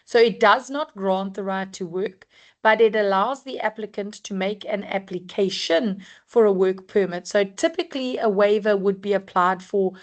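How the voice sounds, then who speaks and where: A woman from South Africa with a croaky voice, presenting a work-compliance webinar in a measured, explanatory way with frequent ums. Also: mid-range voice. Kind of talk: scripted-free webinar talk.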